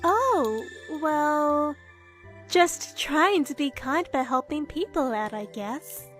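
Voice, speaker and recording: Manga dub heroine, female actor: very high, sweet, gentle young woman, cutesy and easily flustered. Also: expressive, slow, soft background music, full character voice. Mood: kind. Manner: gentle, cutesy, hesitant when embarrassed. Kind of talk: anime-style manga dub acting.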